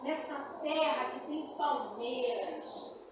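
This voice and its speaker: A Brazilian woman with a high voice gives an informal, emphatic classroom lecture. She sounds distant from the microphone in a strongly echoing room, with noticeable noise. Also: slow. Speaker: literature and writing teacher. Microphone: distant from speaker. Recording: echoey room, distant mic, noisy.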